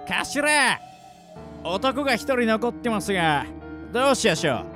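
High-pitched, jeering bandit henchman. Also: very animated, unhurried. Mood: sadistic glee. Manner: rough, jeering, cackling. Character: gleefully sadistic, servile bandit henchman. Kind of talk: Japanese radio drama acting. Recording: music under the voice.

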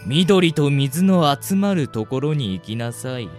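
A man's voice: medium pitch, animated, measured pace, soft background music, lightly acted. A man playing a polite, teasing fortune-teller in a radio drama, with a playful manner. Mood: knowing, insistent. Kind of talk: Japanese radio drama acting.

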